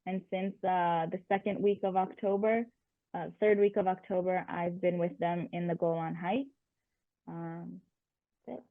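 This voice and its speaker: A woman's voice: fairly low pitch, fairly even intonation, a little slow, no echo. A woman in her twenties who grew up in the United States, introducing herself casually as a reserve officer, with fillers. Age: 20s. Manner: casual, with fillers and self-corrections. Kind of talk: self-introduction in an interview. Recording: tinny phone-like line.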